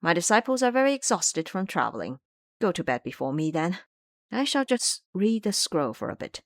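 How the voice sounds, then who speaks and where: A humble elder monk acted by a woman speaks politely in an audio drama. His tone is low, gentle and subdued. The sound is close and dry. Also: sing-song, normal speed, no room sound. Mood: resigned.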